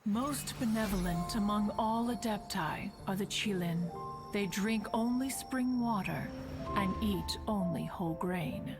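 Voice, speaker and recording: A woman's voice: medium pitch, unhurried, natural voice. Ancient female immortal, game role for an actress. Dignified, motherly, grandly formal, mid-range voice, faint music underneath. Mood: solemn, concerned. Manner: stately, archaic formal speech, calls herself "one". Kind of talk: video game voice acting. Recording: soft game music behind the voice.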